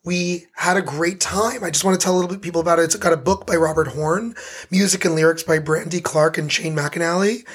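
A man's voice: fairly high pitch, fairly quick, husky. A man co-hosting a podcast, chatting eagerly while losing his voice, recorded on a close-up microphone. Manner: chatty, enthusiastic, talks a lot. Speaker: co-host. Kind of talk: casual chat.

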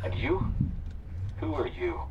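A man's voice: slow, highs cut off, natural voice. An actor playing a menacing, coldly persuasive male pursuer who talks with insistent, condescending control in an audio drama. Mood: scornful, threatening. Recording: distant, noisy vehicle radio.

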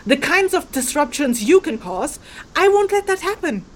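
Stern, sardonic charm crafter, higher-pitched. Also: female voice, big pitch swings, moderate pace. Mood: threatening. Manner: commanding, dry sarcasm. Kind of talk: audio drama acting.

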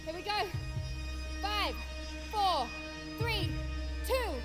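Engineer, female: focused, high-pitched, procedural commands, science fiction audio drama under loud music. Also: animated, drawn-out words, exaggerated voice. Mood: focused, tense. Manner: crisp procedural callouts. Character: focused female engineer running a technical operation.

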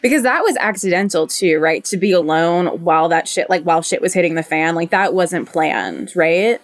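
Blunt, low-pitched woman host. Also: animated, normal speed, dry recording. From United States. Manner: blunt, swears casually, playful. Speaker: podcast host. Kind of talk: casual chat.

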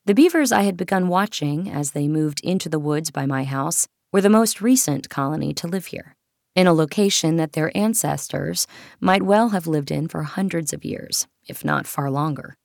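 Low, creaky-voiced woman narrating an audiobook. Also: moderate pace, a little mellow, dry recording, studio quality. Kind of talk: scripted literary nonfiction narration.

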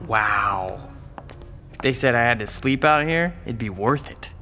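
A glib boyfriend in his twenties in a radio drama, forceful and bright in tone. He is eager and quick to defend himself with a joke, with faint music behind him. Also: medium pitch, expressive. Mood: impressed, breezy. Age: 20s. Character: eager, defensive, wisecracking young would-be comedian boyfriend. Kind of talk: naturalistic audio drama dialogue.

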